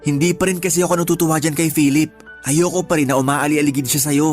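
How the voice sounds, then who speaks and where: An actress playing a jealous, insecure young lover with a Filipino accent in a radio drama, sulky and grumbling in manner. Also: female voice, low voice, steady tone, fast talker, darkish tone, soft background music, close to an everyday voice. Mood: sulky, grudging.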